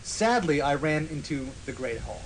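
Guy reading fanfic out loud for laughs, mock serious, highish voice, bit of background noise. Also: big pitch swings, moderate pace, low-quality recording. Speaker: podcast host reading as narrator. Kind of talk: comic dramatic reading of fan fiction.

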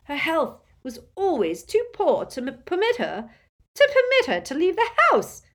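A woman voicing a stiff, loyal elderly gentleman in a storybook, wheezy and breathy, speaking with wounded earnestness. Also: a little high, very animated, a little slow, pitched above the performer's own voice. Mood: desperate, indignant.

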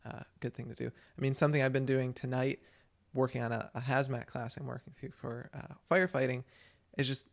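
A man's voice: medium pitch, fairly even intonation, fairly quick, gravelly. A man co-hosting a developer podcast, chatting casually and rambling with frequent restarts. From United States. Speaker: podcast co-host. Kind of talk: casual chat.

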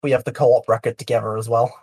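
A young man from Yorkshire with a light Yorkshire accent, chatting casually as a guest, soft-spoken and a bit hesitant. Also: medium pitch, fairly even intonation, quick pace, husky, no echo. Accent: light Yorkshire English. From Yorkshire, England. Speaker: speedrunner guest. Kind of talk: casual chat.

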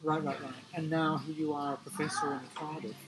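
A man's voice: fairly high pitch, level tone, brisk, a loud crowd. A man hosting a casual podcast interview in a tea room, chatty and inquisitive. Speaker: podcast host.

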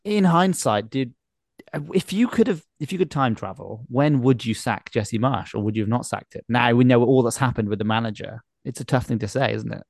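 A man hosts a relaxed, conversational football podcast. His mid-pitched voice has a noticeable creak, and the sound is dry with no room around it. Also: fairly quick, no echo. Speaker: podcast host. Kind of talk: casual football chat. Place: video call podcast.

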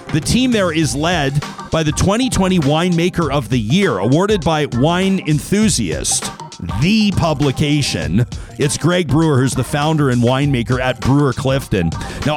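Upbeat talk radio host, a man. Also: fairly high pitch. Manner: upbeat, conversational, playful. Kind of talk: talk radio host reading ads and listener email. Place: radio studio. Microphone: studio microphone.

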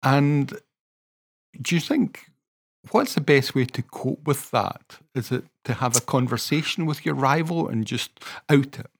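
A man's voice: medium pitch, expressive, a little slow, vocal fry, slightly rough. A middle-aged Scottish man with a Scottish accent, interviewing in a chatty way and often joking and laughing. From Scotland. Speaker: interviewer, minister. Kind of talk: conversational interview.

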